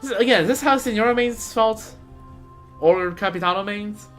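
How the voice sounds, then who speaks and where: A man doing casual live game commentary as a streamer, rambling and halting as he repeats words. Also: high voice, fairly bright tone. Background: game music.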